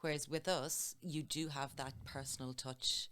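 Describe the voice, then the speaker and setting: A middle-aged woman, a hotel sales manager, calmly explaining her work as an interview guest, often listing examples. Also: extremely low pitch, unhurried, slight background noise. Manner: plain, steady explaining with listed examples.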